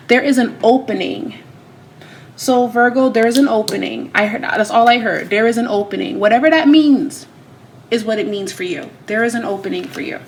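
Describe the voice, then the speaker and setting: A woman's voice: a little high, vocal fry, a little hiss or hum, low-quality recording. A woman doing a tarot reading straight to her viewers, talking emphatically and repeating herself. She is still getting over a cold. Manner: repetitive, emphatic, speaks to viewers directly. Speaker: tarot reader. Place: home room.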